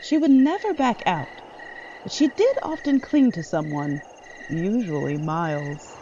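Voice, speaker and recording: A woman's voice: lowish voice, lively intonation, a little slow, mellow. A woman narrating a horror audio drama from a script. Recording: slightly dull audio, faint noise. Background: night crickets and frogs.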